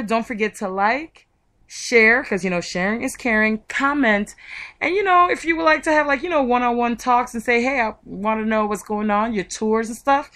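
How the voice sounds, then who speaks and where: A woman hosting a radio interview in a chatty, gushing and excitable manner. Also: medium pitch. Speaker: radio show host.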